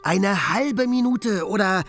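A man's voice: high-pitched, animated, takes it slowly, a bit bright, soft background music, heavily acted. A man playing a brooding, fanciful poor dreamer in a radio drama, speaking in a declamatory, poetic way. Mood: bitter, brooding. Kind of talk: theatrical radio drama acting.

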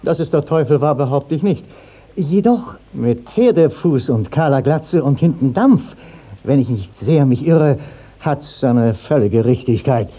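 An actor playing an obsequious, precise court clerk in a 1950s German radio play, speaking formally and carefully. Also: male voice, mid-range voice, animated, unhurried, mellow. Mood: smooth, insinuating. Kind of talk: 1950s German radio play acting of classic verse comedy. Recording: dull 1950s radio drama recording.

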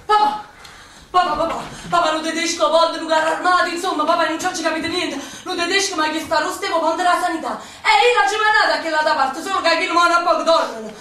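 A woman's voice: very high voice, fast, bright, forceful tone, slight background noise. A young woman with a Neapolitan accent acting a brave, tender partisan girl in a radio drama. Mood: urgent. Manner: pleading, emotional, dialect-heavy delivery. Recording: roomy, distant mic, music underneath.

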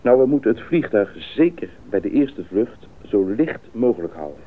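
An actor playing a decisive expedition pilot in a Dutch radio drama, speaking in a deliberate, businesslike way. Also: male voice, lowish voice, big pitch swings, husky. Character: decisive male expedition pilot leading the planning. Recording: muffled narrowband radio play recording.

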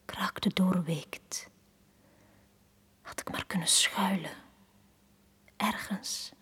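Breathy, forlorn lovesick woman. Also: fairly low pitch, heavily acted. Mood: sorrowful. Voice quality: breathy, whispery. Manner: slow, hushed, poetic delivery. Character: Tanja, forlorn, lovesick woman left on the quay. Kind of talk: 1980s radio drama, intimate monologue.

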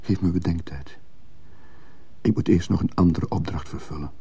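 Husky, brooding male schoolteacher. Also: deep voice, moderate pace, mellow, exaggerated voice. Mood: brooding, bitter. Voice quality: husky, gravelly. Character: troubled, conscience-stricken male schoolteacher. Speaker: actor in a radio play. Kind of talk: Dutch-language radio drama acting.

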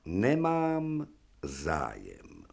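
A man's voice: deep voice, huge pitch swings, gravelly, a little mellow, exaggerated voice. An actor playing an imperious, ironic male professor in a radio play, curt and sardonic with an unbending authority. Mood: dryly defiant.

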